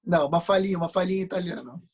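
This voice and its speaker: Husky, higher-pitched man hosting an interview. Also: fairly even intonation, very fast. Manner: teasing, joking, interrupting. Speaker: host of an informal interview. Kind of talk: informal comic interview on a live video call. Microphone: video call.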